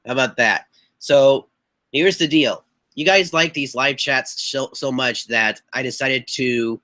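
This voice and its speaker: A young man hosting a casual live chat on a webcam, hoarse and stuffy from a cold, rambling with fillers and clearing his throat. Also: medium pitch, sharp tone. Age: young adult. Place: small bedroom with posters on the walls. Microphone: webcam mic at desk distance.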